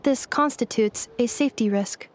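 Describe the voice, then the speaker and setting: A woman voicing a calm female android who speaks in a formal, precise, mechanical way. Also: on the high side, moderate pace, slight background noise, natural voice. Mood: measured. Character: calm female android, literal-minded and polite.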